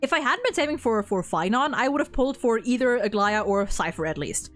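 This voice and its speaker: A woman in a casual, excited fan discussion, effusive and slangy, rambling with lots of repeated "likes". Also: a little high, brisk, faint music behind. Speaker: video host discussing a game.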